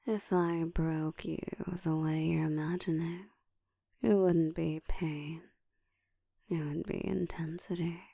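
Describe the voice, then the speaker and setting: A woman playing a seductive, domineering ancient vampire in an intimate ASMR roleplay, speaking in a slow, breathy whisper with a teasing, commanding manner, close to the mic. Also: low-pitched, flat delivery, mellow, no room sound, heavily acted. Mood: dark, domineering. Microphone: close microphone.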